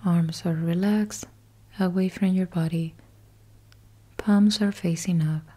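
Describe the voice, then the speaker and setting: A woman with a soft, very mellow and slightly low voice guides a yoga nidra practice for sleep. She speaks very slowly, in short measured phrases with pauses between them. Her delivery is hushed and soothing, trailing into breath at the ends of phrases. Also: fairly even intonation.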